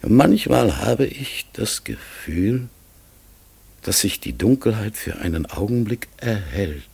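An actor in a German radio play voicing a mysterious, pensive talking raven, husky and gravelly, speaking formally and haltingly with many self-corrections. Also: male voice, fairly low pitch, sing-song, darkish tone, heavily acted. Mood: musing, uncertain.

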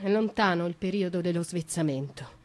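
An actress playing a brooding young noblewoman imprisoned in a dark cell, speaking in a lyrical, slow, reflective way in a radio drama monologue. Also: fairly low pitch, heavy background noise, lightly acted. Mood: bitter, accusing.

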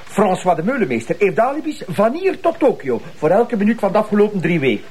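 High, husky, whining Flemish detective. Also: male voice, big pitch swings, quick pace, a little mellow, full character voice. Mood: exasperated. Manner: complaining, exasperated. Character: fussy, excitable, whining male police detective. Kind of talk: naturalistic TV crime drama acting.

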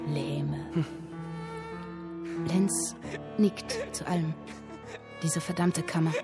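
A woman storyteller in a radio play, recites a literary tale with music clearly behind her. Her voice is on the low side and very slow. She shapes each phrase with measured, dramatic weight. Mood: tense, intent, then bitter.

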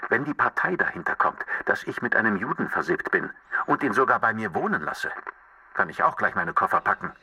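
A man's voice: fairly low pitch, big pitch swings, fairly quick, pushed and bright, breathy edge, no room sound, exaggerated voice. An actor playing a cold, evasive bourgeois man on the telephone, condescending and politely dismissive, in a radio drama. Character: cold, evasive bourgeois brother-in-law, coolly self-serving. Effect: telephone filter. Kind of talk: German radio play acting. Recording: harsh, thin telephone line, distant.

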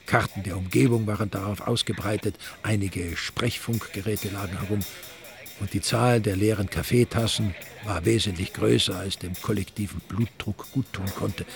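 The narrator of a crime radio drama. He has a lowish voice and tells the story in a wry, measured way, over clearly audible music.

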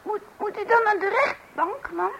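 A very high, squeaky little child, whiny and stammering. The voice sits over audible background noise from an old radio recording. It sounds mischievous and sheepish. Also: female voice, normal speed, creaky, fairly bright tone, heavily acted.

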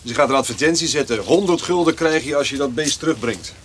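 An actor playing an excitable, plain-spoken farmer who repeats words in bursts in a radio drama. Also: male voice, mid-range voice, quick pace, lightly acted. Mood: eager, chatty. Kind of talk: naturalistic radio drama acting. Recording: noisy radio play recording.

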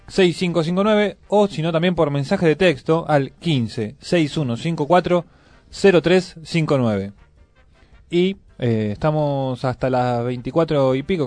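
Argentine guy from buenos aires hosting radio, highish voice, close dry sound, casual. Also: moderate pace, darkish tone, no room sound, soft background music. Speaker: radio host.

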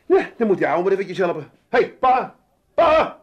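High-voiced, exasperated Amsterdam son. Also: male voice, very animated, moderate pace, lo-fi sound. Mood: exasperated, shouting. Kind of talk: 1980s comedy radio play acting.

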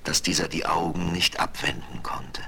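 An actor as an expressive, dramatic narrator of a 1970s German fairy-tale audio drama. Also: male voice, a bass voice, moderate pace, vocal fry, full character voice. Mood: animated storytelling. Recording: faintly noisy vinyl record.

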